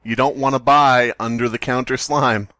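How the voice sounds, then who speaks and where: A man's voice: mid-range voice, fairly even intonation, normal speed, bright, forceful tone, dry recording. A man co-hosting a comedy podcast, riffing playfully and bantering casually as a story is read aloud. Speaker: podcast co-host.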